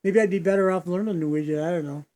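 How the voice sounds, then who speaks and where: A man's voice: high voice, fast talker, a little mellow. A man hosting a reaction video, talking in a casual, folksy way that wanders off into tangents and back, with lots of fillers. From United States. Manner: meandering, folksy, digressive with many fillers. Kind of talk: casual rambling commentary.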